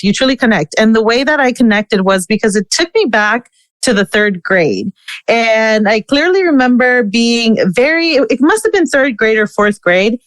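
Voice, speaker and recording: A woman's voice: on the high side, dry recording. A woman born in the United States whose first language is Spanish, a podcast guest candidly sharing a personal story with frequent "you know" and "right". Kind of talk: casual interview storytelling.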